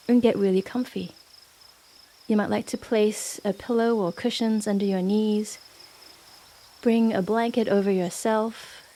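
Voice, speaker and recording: A woman guiding a yoga nidra meditation in a gentle, unhurried manner with long pauses. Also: mid-range voice. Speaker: meditation guide. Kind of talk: guided yoga nidra meditation, soothing narration.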